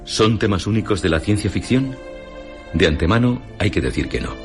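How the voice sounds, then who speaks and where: A man with a Castilian Spanish accent narrating a scripted radio essay in a formal, didactic, deliberate manner. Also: lowish voice, very animated, soft background music.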